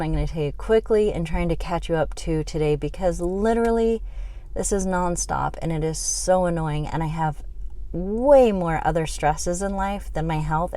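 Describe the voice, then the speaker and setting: A woman's voice: on the low side, a little mellow, no room sound. A middle-aged woman giving a frustrated, rambling update on her health, venting with many fillers. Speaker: health vlogger.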